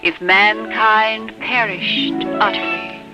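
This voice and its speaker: An actor playing a wistful recorded voice reciting poetry in a lyrical, measured 1950s radio style. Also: male voice, high voice, level tone, highs cut off. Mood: solemn. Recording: old radio recording with music behind.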